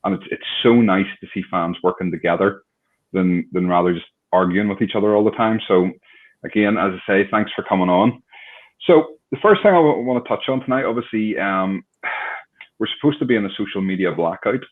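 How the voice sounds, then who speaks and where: A deep-voiced man hosts an online fan chat, friendly and a bit rambling. His voice comes through a thin, phone-like line. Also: fairly quick. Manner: friendly, rambling, restarts often. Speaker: host. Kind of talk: casual chat.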